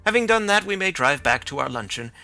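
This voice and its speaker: A man reading an audiobook, voicing a confident, sharp detective who speaks in crisp, assured statements. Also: very animated, fairly quick, faint music behind, full character voice. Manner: crisp, assured statements, sometimes low murmurs to himself.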